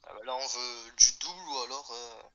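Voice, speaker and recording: A man answers in short, hesitant bits. His voice is very bright and sharp, but the audio is dull and muffled. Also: mid-range voice, brisk. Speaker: guest player. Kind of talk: casual gaming chat.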